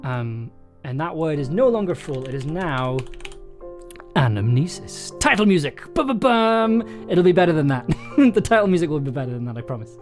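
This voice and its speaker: A man's voice: on the high side, very animated, normal speed. A man livestreaming in a casual, hesitant way, thinking aloud and reading from his own story draft. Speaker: streamer and audio drama writer. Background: chill soundtrack music.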